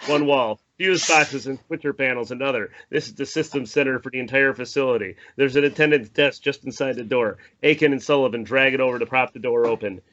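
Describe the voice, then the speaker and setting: A man's voice: medium pitch, fairly quick, hardly any pauses, a bit bright, highs cut off. A man reading screenplay stage directions aloud as narrator at a table read.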